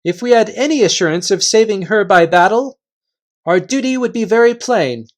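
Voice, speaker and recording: A valiant little mouse warrior, voiced by a man reading a storybook. The voice is raised high and speaks in formal, courtly, dignified phrases. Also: animated, normal speed. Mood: gallant, dignified.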